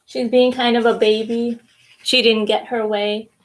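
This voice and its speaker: A woman's voice: fairly high pitch, little pitch movement, a little slow, slight background noise, poor audio. A woman hosting children's story time, reading a storybook expressively with simple asides and questions for young listeners.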